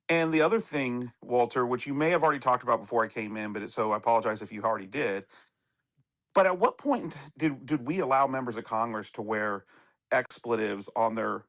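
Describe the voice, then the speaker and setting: A man's voice: mid-range voice, a bit bright. A man who is a guest on a live political talk show, speaking casually and apologizing for his language.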